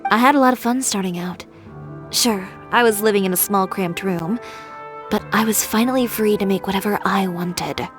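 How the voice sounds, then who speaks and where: An actress plays a young woman who designs fashion, in a video game story. Her voice sits at a middle pitch. She is assertive and proud, with a frank, measured and wry delivery. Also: music in the background, natural voice. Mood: reflective.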